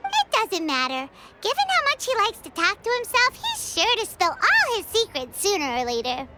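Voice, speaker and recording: A woman's voice: very high voice, huge pitch swings, normal speed, heavy background noise, exaggerated voice. A woman voicing a small, cheeky, childlike female fairy companion in a video game, chirpy and exclamatory. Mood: dismissive. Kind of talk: animated game voice acting.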